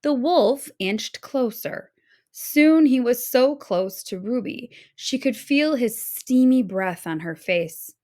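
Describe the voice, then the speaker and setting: A woman narrating a children's story aloud in a dramatic, expressive read-aloud style. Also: on the high side, takes it slowly. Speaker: storybook reader.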